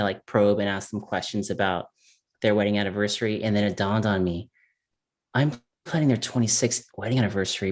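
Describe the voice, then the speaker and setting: A man from California talking casually as an interview guest, animated and laughing often. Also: fairly low pitch. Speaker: interview guest, mountain biker. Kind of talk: casual conversation.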